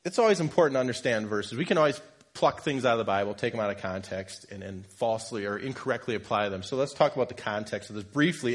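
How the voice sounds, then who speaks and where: Husky-voiced man preaching casually. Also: medium pitch. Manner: conversational, jokes with congregation, self-deprecating. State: slight cold, sniffly. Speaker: lay preacher. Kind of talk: informal sermon. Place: church.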